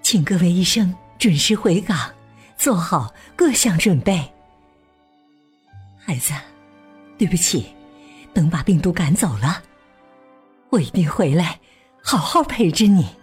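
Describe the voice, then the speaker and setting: A woman playing a gentle, dutiful doctor mother with a soothing, earnest manner in a children's radio drama. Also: on the low side, expressive, measured pace, pauses often, husky, faint music behind, exaggerated voice. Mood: reading message.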